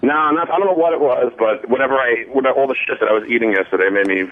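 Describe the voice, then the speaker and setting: A man chats casually over a dull, lo-fi phone connection to a radio show. He talks very fast, at a middle pitch, with blunt, profane wording. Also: dry recording. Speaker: radio show staffer phoning in.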